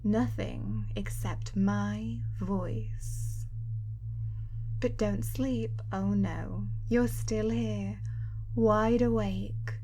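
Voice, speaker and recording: A young woman performing an ASMR hypnosis roleplay, hushed and partly whispering close to the mic, with a teasing, coaxing, controlling manner. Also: mid-range voice, a little hiss or hum, poor audio. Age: young adult.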